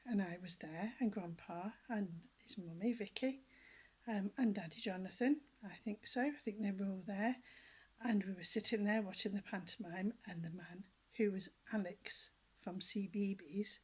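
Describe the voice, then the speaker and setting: An older woman with a soft, breathy voice reads a children's story aloud. She tells it gently and lively, sliding into hushed whispers for the exciting parts and asking her small listeners questions as she goes. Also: mid-range voice, normal speed. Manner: talks directly to young listeners with questions. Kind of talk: children's storybook reading with personal asides.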